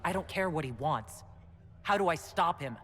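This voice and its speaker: A determined young hero answers bluntly in a slightly high, lively voice. His defiant lines ring out with a long hall reverb, set back from the mic. He is the lead in a video game cutscene. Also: moderate pace, slight background noise.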